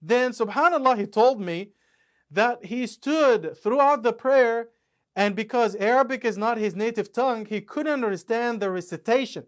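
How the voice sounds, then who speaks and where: A man's voice: very high-pitched, moderate pace. Man patiently explaining his dictionary. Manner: patient, step-by-step explaining with examples. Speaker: author and imam presenting his Quran dictionary. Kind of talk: explanatory talk about a book.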